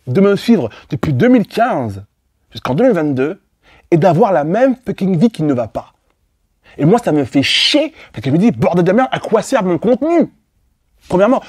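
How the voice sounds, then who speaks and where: A man's voice: high-pitched, a little mellow, slightly rough, no room sound, clean studio sound. A man coaching women in a motivational monologue, blunt and profane, repeating his points and speaking straight to the listener with prodding questions. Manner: blunt and profane, with emphatic repetition. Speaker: dating and life coach for women. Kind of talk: motivational monologue addressed to viewers.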